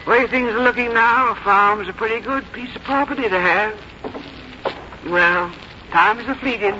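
A woman's voice: on the high side, normal speed, natural voice. An actress playing a fussy, fond but bossy older American farm mother in a 1950s radio drama, with homespun, matter-of-fact phrasing. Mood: brisk, fussy. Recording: muffled, noisy old radio broadcast recording.

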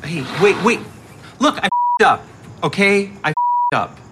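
A man playing a guilty, flustered ex-boyfriend in a naturalistic audio drama, halting and apologetic as he pleads. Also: high voice, lively intonation, very noisy. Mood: pleading, agitated.

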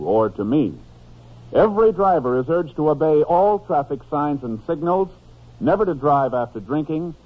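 A male American announcer from old-time radio reads formally and earnestly. His voice sits a bit high with a slightly grainy edge, on a muffled, narrow vintage recording. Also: husky. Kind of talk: scripted 1950s radio announcing.

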